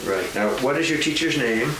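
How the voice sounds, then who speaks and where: A man gently interviewing a child at home, coaxing answers and laughing often. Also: mid-range voice, expressive, moderate pace. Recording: echoey room, far from mic, noisy.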